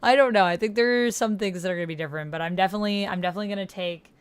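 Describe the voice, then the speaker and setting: A woman hosting an online roleplay, chatting casually and thinking out loud, sometimes reading typed chat aloud. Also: mid-range voice, racing. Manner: musing aloud to herself. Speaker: host of an online text roleplay playthrough. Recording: keyboard typing, clear background noise. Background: computer keyboard typing.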